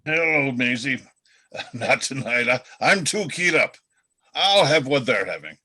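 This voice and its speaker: A man's voice: medium pitch, expressive, unhurried, pushed and bright. An older man playing a weary, good-humored retired reindeer in a radio-style play, with a wry, conversational manner. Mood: wry, tired. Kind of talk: radio-play style readers theatre acting.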